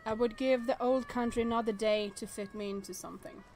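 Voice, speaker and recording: A woman with a fairly high voice plays a visual novel. She reads the text aloud and reacts to it in a casual, chatty way. Game music plays softly behind her. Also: steady tone, fairly quick. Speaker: let's-play streamer.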